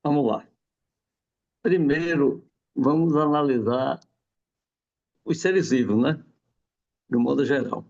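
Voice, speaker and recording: A man's voice: fairly high pitch, creaky, a little mellow. A man explaining a health topic in a casual, rambling chat, often adding a questioning "right?". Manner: rambling, many tag questions. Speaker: guest explaining homeopathy. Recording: dull lo-fi sound.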